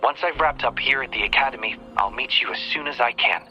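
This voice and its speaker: A man's voice: medium pitch, quick pace, dull audio, soft background music, lightly acted. A man voicing a courteous, brainy young researcher in a video game, speaking politely and precisely. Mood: polite, composed.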